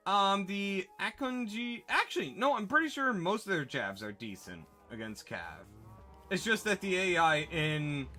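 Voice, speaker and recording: Male game streamer, bright voice and animated delivery, chatty and self-correcting, with faint music under him. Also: high voice, unhurried. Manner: chatty, answers chat, corrects himself mid-sentence. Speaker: livestreamer. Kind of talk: casual gaming livestream chat.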